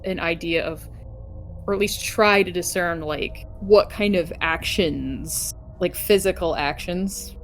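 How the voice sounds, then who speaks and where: A woman's voice: lowish voice, slow, faint music behind. A woman playing a tabletop game, chatting casually in a wordy, inquisitive way and often rephrasing herself. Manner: inquisitive, wordy, self-checking. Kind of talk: casual chat. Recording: clear background noise, room ambience.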